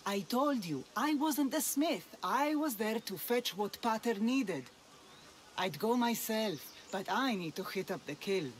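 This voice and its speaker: A reluctant young blacksmith's daughter. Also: female voice, fairly high pitch, animated, unhurried. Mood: explaining. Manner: wry, hesitant, grumbling, sighing. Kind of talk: video game cutscene acting.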